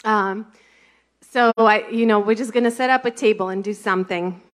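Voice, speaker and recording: A woman with a Russian accent, a ministry leader sharing a testimony in conversational church talk, animated and storytelling. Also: medium pitch, normal speed. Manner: animated, laughing, storytelling with quoted thoughts.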